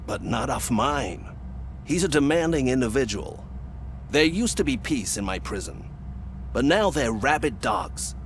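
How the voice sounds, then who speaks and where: A smug prison warden, smooth and self-satisfied, speaking in measured phrases with lively intonation. He sounds like a video game cutscene character. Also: mid-range voice, slight background noise.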